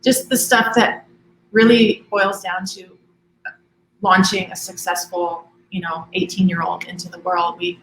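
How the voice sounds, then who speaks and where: A woman in her forties from Hawaii, a school admissions director talking relaxed in an interview, with many ums and restarts. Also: on the low side, unhurried, roomy sound, a little hiss or hum, rough recording. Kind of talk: conversational interview.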